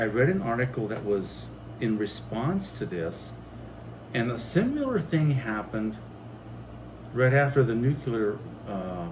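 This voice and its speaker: A man co-hosting a family vlog, telling stories in a casual, rambling and halting way. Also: lowish voice. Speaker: co-host of a family homestead channel. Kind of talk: casual rambling storytelling and chat. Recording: faint background noise.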